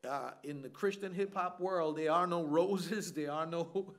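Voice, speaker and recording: A middle-aged man hosting an on-stage church interview, energetic and preacherly, calling for amens. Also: on the high side, unhurried. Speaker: church event host.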